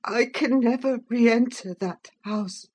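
A woman's voice: fairly high pitch, slow, darkish tone, breathy edge, pitched above the performer's own voice. A woman voicing a frail, frightened, sickly young man, panting and pleading with his father in an audiobook novel. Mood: fearful. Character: frail, fearful, whining sickly youth, a cowed son.

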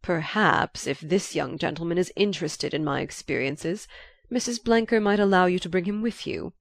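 A woman's voice: on the low side, moderate pace, vocal fry, no room sound. A female narrator puts on a pompous doctor and lecturer, a large man from a period novel. He speaks in a formal, ponderous way. The sound is close and dry. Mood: courteous, disappointed.

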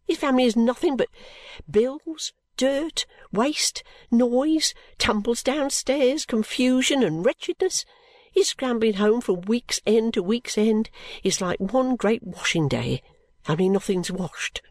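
A woman voicing a sulky, overworked young woman who complains abruptly and breaks into pitched outbursts. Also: lively intonation, takes it slowly, a little mellow, dry recording, studio quality. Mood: exasperated.

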